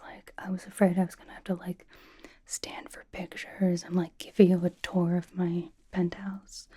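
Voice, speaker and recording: A woman voicing a blunt but cozy young female pop star in a breathy, casual, halting ASMR whisper, doting on the listener. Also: fairly low pitch, warm tone, close to an everyday voice. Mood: candid, relieved. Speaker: ASMR roleplay performer. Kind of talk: whispered ASMR personal-attention roleplay.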